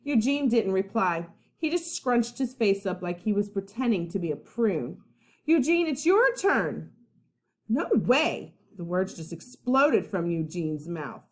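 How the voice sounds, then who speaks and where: Animated woman reading a children's story. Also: mid-range voice. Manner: expressive, pauses to ask listeners questions. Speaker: first grade teacher. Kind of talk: children's story read-aloud.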